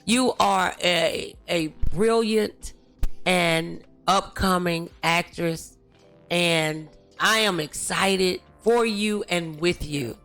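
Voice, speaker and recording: Middle-aged woman, chatty talk show host, unhurried and bright with some gravel, faint music behind. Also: fairly low pitch. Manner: chatty, familiar. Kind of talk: casual interview.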